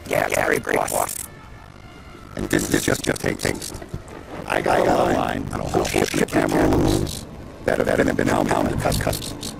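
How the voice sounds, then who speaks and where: An actor playing a pushy, defensive gangster nightclub owner in a video game, with a very gravelly voice. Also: male voice, very deep voice, monotone, normal speed, long pauses, over music, heavily acted, low-quality recording. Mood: pitching, defensive. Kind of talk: video game cutscene acting.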